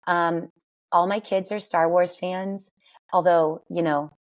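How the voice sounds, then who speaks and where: A woman in her forties with a raspy voice, chatting and telling stories as a podcast guest, laughing often. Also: lowish voice, steady tone, takes it slowly. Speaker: guest, worship singer. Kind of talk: casual interview chat.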